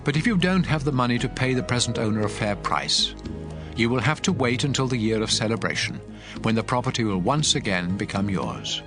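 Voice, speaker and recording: A man's voice: medium pitch, lively intonation, natural voice. Man voicing a solemn, commanding God over music. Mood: solemn, instructive. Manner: solemn, measured, authoritative. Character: God of Israel, stern commanding male lawgiver. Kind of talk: dramatized scripture reading.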